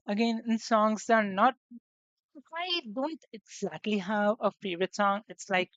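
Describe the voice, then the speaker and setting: A young woman streaming on her debut as a VTuber, chatting casually and rambling with lots of fillers and restarts, her voice slightly hoarse. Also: mid-range voice, dry recording. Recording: dull lo-fi sound, dry room.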